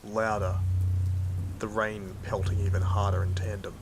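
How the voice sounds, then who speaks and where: A man's voice: fairly low pitch, level tone, unhurried, noisy background, close to an everyday voice. An Australian man playing a proud, blunt field hunter who narrates an audio drama in a weary, colloquial way. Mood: weary, matter-of-fact.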